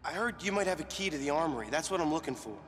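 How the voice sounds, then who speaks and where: A man's voice: fairly high pitch, expressive, rapid-fire, a bit bright. An actor playing an earnest, uneasy young man, a reluctant video game hero who speaks hesitantly. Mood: urgent, insistent. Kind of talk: video game cutscene acting. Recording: echoey hall with music behind.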